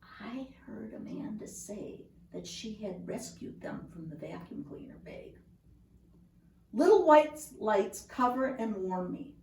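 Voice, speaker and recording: A woman reading a children's picture book aloud in a measured, deliberate storytelling manner, recorded at home. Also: medium pitch, expressive, vocal fry, a little mellow, in a whisper. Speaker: storybook reader. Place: indoors at home. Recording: roomy home recording, distant mic.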